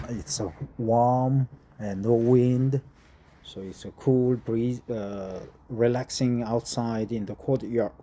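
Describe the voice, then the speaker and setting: A man's voice: mid-range voice, warm tone. A man from Korea speaking English with a Korean accent, chatting and then carefully reading an article aloud outdoors, with frequent hesitations. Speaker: vlogger. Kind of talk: casual talk and reading aloud. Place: outdoor courtyard bench in front of a church.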